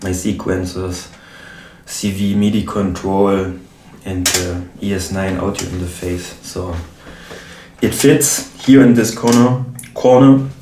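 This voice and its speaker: A low-voiced man with a German accent talks in a slow, unhurried way while he works. He often stops to hunt for English words. He sits well back from the mic in a roomy space with faint background noise. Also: steady tone, mellow, recorded from a distance. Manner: halting, searching for English words. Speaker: modular synth hobbyist. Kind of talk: casual talk while assembling gear.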